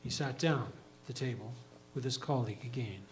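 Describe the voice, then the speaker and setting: A man's voice: mid-range voice, a little fast, faint music behind, poor audio. A man giving scripted literary narration in a reader's theatre performance.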